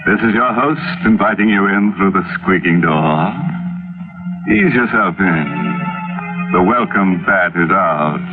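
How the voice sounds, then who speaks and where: A macabre male host who welcomes listeners with sardonic, smooth menace. His voice sits low. The sound is a tinny 1940s radio broadcast with music. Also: measured pace. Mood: gleefully macabre. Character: ghoulish, mock-welcoming male horror host. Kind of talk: 1940s radio announcing and hosting.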